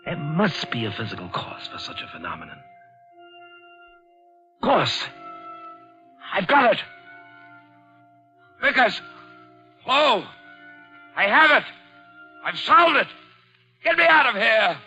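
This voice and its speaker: A frantic accused professor. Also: male voice, very high voice, slow, pauses often, heavily acted. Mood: elated. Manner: bewildered pleading, bursting into excited shouts. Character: accused American professor, anxious then elated detective. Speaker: lead actor in radio drama. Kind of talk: 1940s radio acting. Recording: dull old radio broadcast with music.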